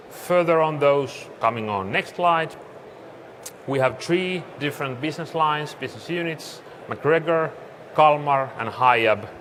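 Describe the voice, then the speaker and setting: A man's voice: medium pitch, fairly bright tone. A man from Finland with a Finnish accent gives a business presentation as a conference speaker. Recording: clearly noisy live room recording.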